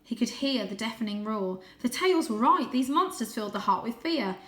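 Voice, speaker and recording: A woman narrates a children's story in a bright, clear-cut voice. Her delivery is animated and suspenseful, like a dramatic audiobook. The room around her adds a noticeable echo. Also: a little high, fairly quick. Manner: animated, suspenseful, with gasped asides. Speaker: storyteller reading a children's book aloud.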